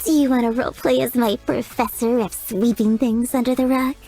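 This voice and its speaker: Giggly flirty maid. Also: female voice, on the high side, fairly even intonation, fairly quick, dark tone, faint music behind. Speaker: chambermaid character. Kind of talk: video game voice lines.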